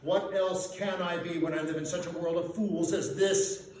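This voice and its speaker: A man's voice: high voice, steady tone, moderate pace, a little mellow, close to an everyday voice. A man giving a dramatic storybook reading as a miserly, scornful old businessman with a barking, contemptuous manner. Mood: scornful, irritated. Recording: echoey room, distant mic, faint noise.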